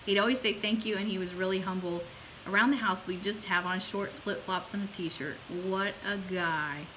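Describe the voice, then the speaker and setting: A woman's voice: mid-range voice, moderate pace, rough recording. A middle-aged woman hosting an online show in a chatty, informal, enthusiastic way, partly reading aloud. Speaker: host of an online radio show. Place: small room indoors at home or office. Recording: steady background hiss.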